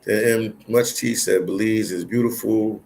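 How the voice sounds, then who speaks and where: A man's voice: on the low side, fairly even intonation, measured pace, gravelly, a little mellow. A middle-aged American man hosting a casual livestream, reading viewer comments aloud in a laid-back, slangy, teasing way. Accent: American English.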